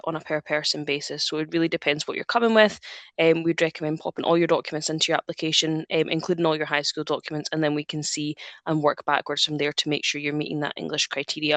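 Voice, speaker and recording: Fast, gravelly-edged woman adviser. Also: deep voice, fairly bright tone, no room sound. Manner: brisk and reassuring, says absolutely often. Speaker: admissions adviser. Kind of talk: webinar Q&A answer.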